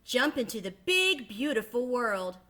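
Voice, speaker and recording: An encouraging storybook mother duck, voiced by a woman, calls out in a bright, lifted voice. She coaxes her little ones with clear emphasis and cheer. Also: a little high, very animated, unhurried, voice pushed up, full character voice. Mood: cheerful coaxing. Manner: coaxing, emphatic. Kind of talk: children's storybook read-aloud.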